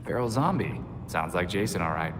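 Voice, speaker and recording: An actor playing a wry, resolute young vigilante leader in a video game, with an American accent and a confident delivery. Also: male voice, low-pitched, lively intonation, fairly quick, noisy background. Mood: wry, assured. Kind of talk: video game cutscene acting. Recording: long reverb, music behind.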